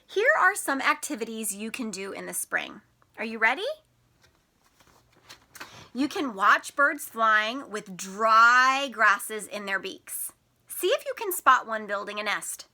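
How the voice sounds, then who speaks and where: A woman's voice: a little high, sing-song, takes it slowly, sharp tone. A woman teacher reading aloud to young children, explaining things simply and stressing key words expressively. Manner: explains simply to children, asking them questions. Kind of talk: picture-book read-aloud for young children.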